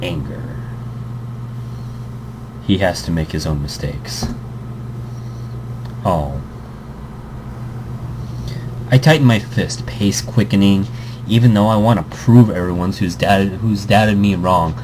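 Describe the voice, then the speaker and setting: A man's voice: sits low, measured pace, long pauses. A man hosting a let's play, reading a visual novel aloud with commentary, tripping over words and restarting. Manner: stumbles and restarts words, chuckling asides. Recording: air conditioning hum behind.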